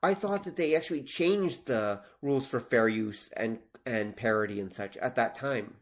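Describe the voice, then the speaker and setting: A man's voice: medium pitch, normal speed. A man with a Canadian accent in a casual podcast discussion, explaining with examples and making his points emphatically. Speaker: podcast co-host; teacher.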